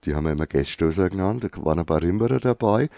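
A man with a Franconian accent hosting a casual chat, enthusiastic and quick to praise. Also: low voice, expressive, creaky, dark tone, no room sound, clean studio sound. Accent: Franconian German. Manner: enthusiastic, repeats "herrlich, wundervoll".

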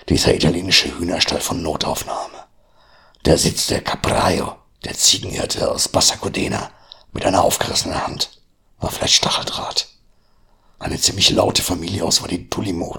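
A man with a low, husky voice hosts his podcast and reads his own short horror story aloud. He keeps his narration hushed and often drops to a breathy half-whisper. Gravelly rasp runs through his deep tone. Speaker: podcast host.

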